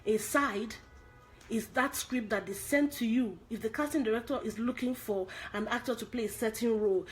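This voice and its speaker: A woman with a Nigerian accent coaches actors in an online lesson. Her voice sits fairly high, and she drives each point home by repeating it and asking the audience direct questions. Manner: emphatic, repeats key points, asks rhetorical questions. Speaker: acting coach. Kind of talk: online acting lesson to viewers.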